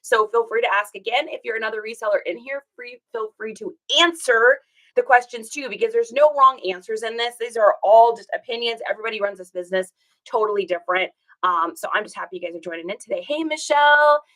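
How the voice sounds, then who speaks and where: A woman with a slightly hoarse, rough voice, hosting a casual livestream and chatting upbeat with viewers, greeting them by name. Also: a little high, fast, a bit bright, poor audio. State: hoarse from singing. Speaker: reseller livestream host.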